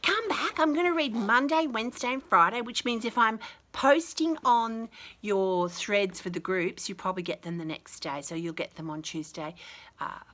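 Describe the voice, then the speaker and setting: A woman reading a picture book to young children with chatty, gushing commentary and expressive, exaggerated emphasis. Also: a little high, moderate pace. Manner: gushing, enthusiastic, talking to small children. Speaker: children's storyteller and host.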